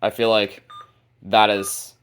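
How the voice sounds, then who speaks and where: A man with a fairly low voice presents items from his collection in a casual, unscripted way. His delivery is informal and somewhat halting, like a hobbyist host talking as he goes. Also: flat delivery, fairly bright tone, a little hiss or hum. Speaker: hobbyist video host showing a radio collection. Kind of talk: casual unscripted product showcase.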